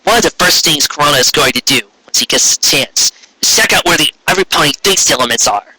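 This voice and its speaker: A man's voice: fairly high pitch, lively intonation, fast talker, bright, forceful tone, breathy edge, no echo, full character voice, low-quality recording. A man playing a blunt, determined young female pony who urges others on in a story.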